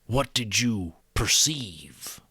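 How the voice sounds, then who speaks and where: A man voicing a wise, patient old male mentor in an audiobook, measured and gently probing. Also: on the low side, lively intonation, slightly rough, no echo, heavily acted. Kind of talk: audiobook character voice.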